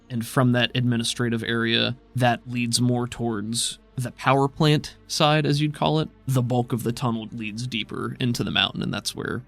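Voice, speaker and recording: Gravelly game master narrating calmly. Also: male voice, medium pitch. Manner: descriptive, measured.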